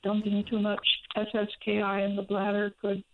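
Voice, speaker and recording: Hoarse woman caller on a phone line. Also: medium pitch, completely flat delivery. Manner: careful, halting, detailed.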